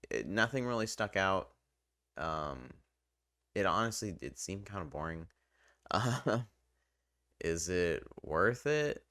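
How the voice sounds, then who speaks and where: A man's voice: low-pitched, fairly bright tone, clean tone, dry recording, studio quality. A young man hosting a casual, unscripted video review, talking in a rambling, hesitant way. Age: young adult. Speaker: video host.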